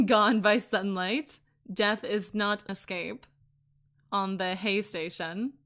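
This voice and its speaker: A woman streamer reading a visual novel aloud and commenting on it, excitable and gushing, often giggling. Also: mid-range voice, takes it slowly. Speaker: VTuber streamer.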